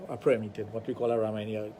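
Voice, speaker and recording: A man with a Trinidadian accent, hosting a radio talk show and telling animated stories with acted-out dialogue. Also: on the low side, quick pace, a little hiss or hum. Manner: animated storytelling, acts out dialogue. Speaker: radio show host, sexologist.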